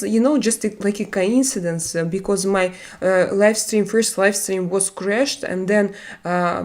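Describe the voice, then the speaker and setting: A woman's voice: mid-range voice, darkish tone. Russian-accented woman hosting a live stream. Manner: halting, frequent "uh" fillers while searching for English words. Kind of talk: casual live-stream chat reading viewer messages.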